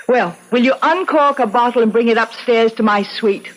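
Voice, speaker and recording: A woman's voice: a little high, normal speed, natural voice, rough recording. A woman playing a rich, decisive lady of the house in a 1970s radio drama, confident and commanding. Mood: commanding, self-assured. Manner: confident, commanding, matter-of-fact. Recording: old radio broadcast recording.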